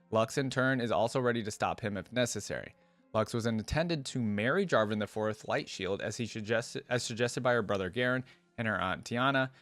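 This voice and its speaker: Low-voiced man, casual reaction host. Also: a bit bright, no echo. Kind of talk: casual reaction commentary mixed with reading lore aloud.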